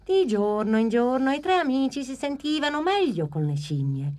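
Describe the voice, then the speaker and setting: A woman narrating a children's cartoon story in a gentle storytelling manner. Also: sits high, very animated, moderate pace.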